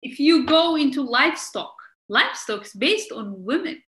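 A woman from Somalia, a guest in an interview, speaking emphatically and animatedly, halting often on fillers and breaking into laughter. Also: high voice, expressive, a bit bright, reverberant. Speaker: guest, member of parliament. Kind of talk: conversational interview answers.

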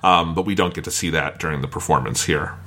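A man's voice: rumbling low, fast talker, bright, forceful tone. A man hosting a casual podcast discussion, describing and explaining as he goes. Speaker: podcast host.

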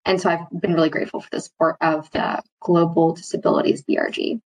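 Husky woman with halting phrasing. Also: sits low, level tone, darkish tone. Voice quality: mild, mostly covert stutter with occasional repeated starts. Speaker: interview guest, employee speaking on stuttering. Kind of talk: reflective workplace interview.

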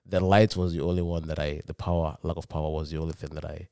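Young guy from nigeria, really low voice, dry close sound, keeps restarting his sentences. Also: flat delivery, creaky, mellow, clean tone, no room sound. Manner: halting, repeats words while searching for them. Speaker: podcast guest, student. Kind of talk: casual interview answers.

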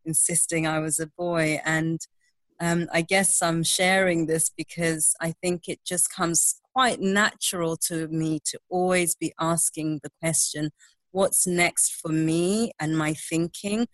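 A woman from Zimbabwe, a social entrepreneur guesting on a podcast, speaking candidly and haltingly with fillers. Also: low voice, fairly even intonation, no echo, low-quality recording. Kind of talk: conversational interview.

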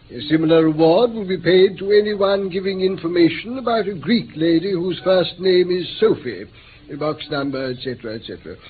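An urbane English gentleman in a radio drama, dry and pleased with himself. His voice is distinctly high for a man and comes through a thin, tinny old recording. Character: urbane, drily complacent elder brother of a detective.

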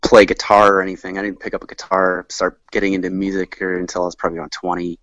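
American male podcast guest, 30s, musician type, lower register, meandering casual delivery, muffled lo-fi sound. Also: monotone, fast, fairly bright tone, no room sound. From rural southern Maryland, United States. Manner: rambling, self-deprecating, frequent "like". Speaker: podcast guest, touring musician and recording engineer. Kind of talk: casual chat.

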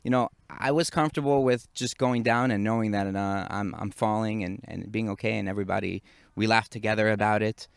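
A man's voice: lowish voice, steady tone, fairly quick, gravelly, clean tone, dry recording. A man chatting casually as an interview host, informal and curious, thinking aloud as he goes. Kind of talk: casual chat.

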